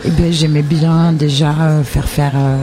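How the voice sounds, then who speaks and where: A woman from northern Charente in France, a festival performer, chatting casually and lively as a guest on a festival radio show. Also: deep voice, a little slow. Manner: lively, talkative, switches to familiar tu. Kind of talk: casual radio interview chat.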